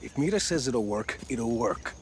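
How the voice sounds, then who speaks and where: A man acting a gruff, distrustful, battle-weary action hero in a video game, speaking in terse, dry, sardonic lines. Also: medium pitch, animated, normal speed, a little hiss or hum, lightly acted. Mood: curt.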